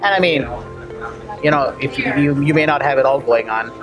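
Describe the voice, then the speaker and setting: A man's voice: mid-range voice, brisk, poor audio. A man interviewing a guest in a casual chat, talking in a chatty, effusive and jokey way. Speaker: interviewer and marketer. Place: indoors on a couch. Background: background music.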